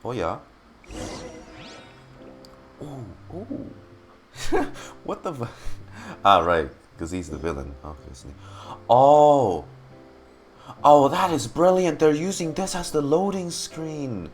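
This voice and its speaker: A man streaming casual game reaction commentary, excitable and often repeating his words. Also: medium pitch, slow pace, pauses often, faint music behind.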